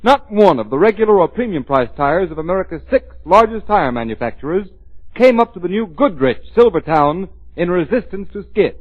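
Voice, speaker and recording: Male vintage announcer: higher-pitched, declamatory, very animated, dull period radio sound. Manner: emphatic, formal, declamatory. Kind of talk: 1930s radio ad and scripted narration. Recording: muffled vintage radio recording.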